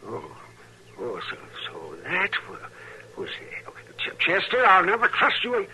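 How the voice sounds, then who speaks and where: An actor playing a wry, cantankerous frontier doctor in an old-time radio western, grumbling and sputtering. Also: male voice, high-pitched, wildly swooping pitch, slow, bright, forceful tone, exaggerated voice. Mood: indignant. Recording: slightly noisy vintage radio recording.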